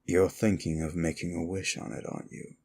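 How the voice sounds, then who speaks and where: A man playing a wicked, contemptuous immortal demon in an ASMR roleplay, speaking in a theatrical, brooding way with a gravelly voice and deliberate pauses. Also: little pitch movement, darkish tone. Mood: cold, contemptuous. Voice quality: low, gravelly. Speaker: audio roleplay performer. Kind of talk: ASMR roleplay monologue, addressed to the listener.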